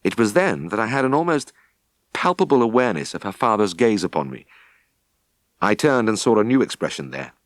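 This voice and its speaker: A British man narrating a first-person story for an audiobook with expressive, scripted delivery. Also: lowish voice, brisk, no echo.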